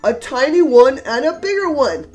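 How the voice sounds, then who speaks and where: A woman's voice: high-pitched, very animated, darkish tone, faint music behind. A woman voicing a big grown male bear from a storybook, a patient and weary caregiver. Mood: reassuring. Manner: patient, drawn-out questions and reassurances. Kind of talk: storybook character read-aloud.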